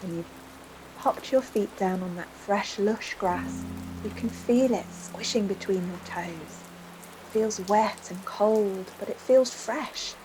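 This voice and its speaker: A woman leads a guided imagery relaxation for children, speaking gently and soothingly in unhurried phrases with pauses. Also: mid-range voice, noisy background. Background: music and rain sounds.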